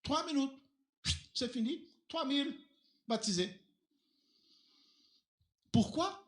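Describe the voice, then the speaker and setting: A male pastor preaching a sermon in a church, a native Portuguese speaker who asks rhetorical questions and leaps up and down in pitch as he drives his points home. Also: lively intonation, slow. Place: church hall. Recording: echoey church hall.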